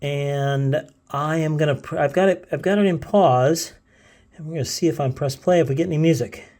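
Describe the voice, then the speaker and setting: A man's voice: fairly high pitch, normal speed, darkish tone. A man hosting a casual, unscripted gadget demo, hesitating and thinking aloud as he fiddles. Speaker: gadget video host.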